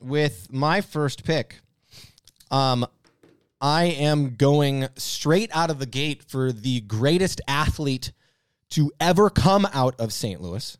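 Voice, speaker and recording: A man's voice: mid-range voice, slow, clear, resonant tone, dry recording. A man co-hosting in casual comic banter, opinionated and playfully combative. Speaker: podcast co-host. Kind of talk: casual comic banter in a mock sports draft. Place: theatre company space used for filming and recording, dry sound.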